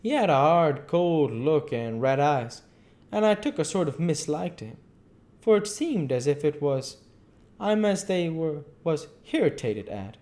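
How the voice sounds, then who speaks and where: Man, amateur audiobook reader, fairly high voice, animated melody, stumbling delivery, repeated phrases. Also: a little slow. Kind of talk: reading a classic novel aloud.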